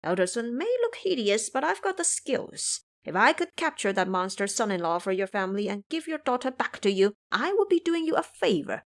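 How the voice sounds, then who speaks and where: A boastful monkey monk, played by a woman, struts through an audio drama. The voice is raised and swings widely in pitch, brash and cheeky. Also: big pitch swings, fairly quick, hardly any pauses. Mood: indignant boasting. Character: cocky hideous monkey monk, brash monster-catcher.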